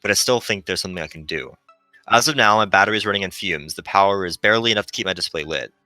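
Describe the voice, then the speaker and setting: A man voicing a gentle, melancholy female shuttle AI, earnestly explaining as it fades in a visual novel. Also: low voice, rapid-fire, no echo, faint music behind.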